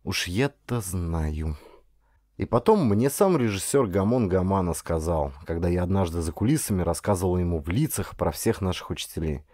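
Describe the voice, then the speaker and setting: Man reading an audiobook, lowish soft-edged voice, really lively delivery, very dry close sound. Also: normal speed, no room sound. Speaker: audiobook narrator, actor. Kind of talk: expressive first-person audiobook narration.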